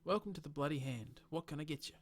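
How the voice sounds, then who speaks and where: A man's voice: a little high, expressive, fast talker. A man voicing a friendly, easygoing male bartender who chats and jokes with customers. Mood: chatty. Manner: friendly and chatty, with a joking tone.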